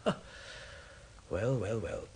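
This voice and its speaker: An actor playing a hesitant, evasive British office boss in a radio drama, stammering and apologetic. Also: male voice, lowish voice, lively intonation, slow, vocal fry, mellow, husky, heavily acted. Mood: awkward, stalling. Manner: stammering, apologetic, dithering with repeated phrases. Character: hesitant, evasive male office boss breaking bad news.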